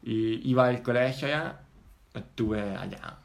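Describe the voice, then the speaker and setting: A young Italian man with an Italian accent, chatting casually in a second language, pausing and searching for words as he tells his story. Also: mid-range voice, gravelly. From Italy. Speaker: guest. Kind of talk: casual chat.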